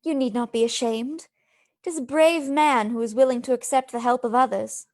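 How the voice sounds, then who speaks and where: A woman's voice: a little high, fairly even intonation, normal speed, darkish tone, lightly acted. A woman playing a compassionate, steadfast young wife in a radio play, formal and reassuring. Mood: kind, reassuring.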